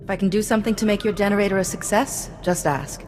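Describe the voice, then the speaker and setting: An actress playing a composed, supportive Jedi diplomat in a video game, speaking politely and with measured delivery. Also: female voice, medium pitch, fairly even intonation, darkish tone, in a large hall, close to an everyday voice. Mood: composed, obliging. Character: calm, courteous, supportive female Jedi diplomat. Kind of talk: scripted video game acting. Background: music.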